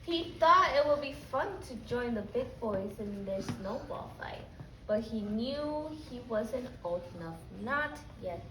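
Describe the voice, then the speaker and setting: A woman's voice: a little high, expressive, measured pace. A woman teaching young children as a preschool teacher, prompting them with simple, repeated questions and phrases. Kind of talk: teaching young children, question-led lesson and picture book read-aloud. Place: indoor classroom. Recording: roomy, distant mic, faint background noise.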